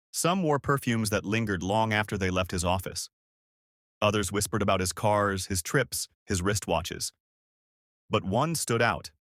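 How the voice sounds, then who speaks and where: A man reading a scripted, dramatic story in a measured storytelling manner. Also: fairly low pitch, fairly bright tone, no echo, studio quality. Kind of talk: scripted narration of a dramatic folktale-style story.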